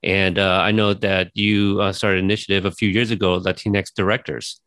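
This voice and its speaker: A man's voice: low-pitched, flat delivery, brisk, a bit bright, no echo, clean studio sound. A man interviewing a film director, asking rambling questions full of fillers and admiring remarks.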